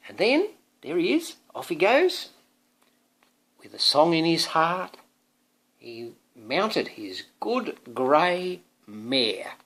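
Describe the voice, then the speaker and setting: A man's voice: fairly high pitch, huge pitch swings, slow, a bit bright. A man, a father, reading a picture book aloud to his young son, drawing out words expressively and adding chatty asides that prompt the child.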